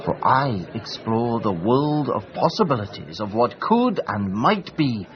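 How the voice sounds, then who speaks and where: A theatrical English eccentric gentleman, self-important and grandiloquent. His voice swings widely in pitch as he declaims in radio drama style. The recording is slightly dull and lo-fi. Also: very animated, a little slow. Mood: grandly self-important.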